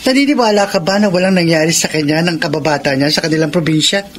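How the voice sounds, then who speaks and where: A man's voice: fairly high pitch, fast, close to an everyday voice. A man playing a concerned, doubting young confidant in a Filipino radio drama. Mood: skeptical, probing. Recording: clearly noisy background ambience.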